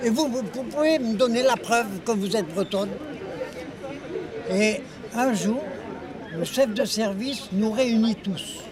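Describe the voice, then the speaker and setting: A man in his eighties from Algeria, telling an old story to friends in a lively, chatty way, often laughing. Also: sits high, measured pace. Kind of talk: casual anecdote. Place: outdoor gathering. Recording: outdoor home video with busy crowd. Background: crowd chatter.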